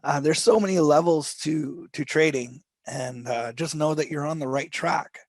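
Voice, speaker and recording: A man teaching a live trading session, his voice going hoarse as he talks, with loose, rambling phrasing, restarts and frequent throat clearing. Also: fairly high pitch, moderate pace, dry recording. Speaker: trading instructor.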